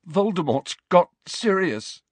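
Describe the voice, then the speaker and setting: Man voicing a frantic hot-tempered teenage boy wizard, higher-pitched. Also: very animated, takes it slowly, breathy edge, dry recording, exaggerated voice. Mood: urgent, panicked. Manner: loud, urgent, shouting when challenged. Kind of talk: audiobook character acting.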